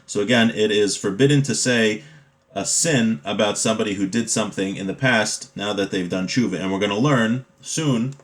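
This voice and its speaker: Guy with a lowish voice teaching a torah class, chatty explainer style, everyday examples. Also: normal speed. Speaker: religious teacher. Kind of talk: informal Torah class, reading a text and explaining it.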